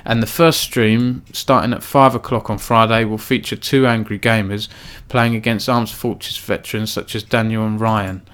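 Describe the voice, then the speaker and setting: A man talks through the week's esports news in a relaxed, unscripted way. His delivery stays fairly flat and monotone, and he often pauses on filler words as he thinks aloud. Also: fairly low pitch, smooth. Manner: self-described monotone, filler-heavy delivery. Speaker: esports news editor and host. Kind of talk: casual weekly news roundup.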